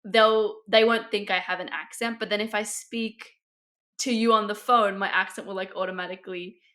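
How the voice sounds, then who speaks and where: A woman's voice: mid-range voice, fairly even intonation, normal speed, a bit bright. A young woman, co-hosting a podcast with her mother and talking in a chatty, thoughtful way with many fillers. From Australia. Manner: chatty, lots of "like". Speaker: podcast co-host, daughter. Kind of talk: casual conversation.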